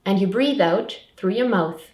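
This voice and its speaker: A woman's voice: medium pitch, a little slow, a little mellow. A woman presenting a scripted public information talk, giving simple, step-by-step advice as a support counsellor. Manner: simple, clearly structured advice in short sentences. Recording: roomy echo, distant microphone.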